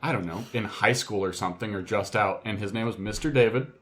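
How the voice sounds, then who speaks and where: A man's voice: fairly low pitch, fast. A man co-hosting a podcast, chatting casually and telling stories in a conversational way. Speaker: podcast co-host. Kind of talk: casual chat, storytelling.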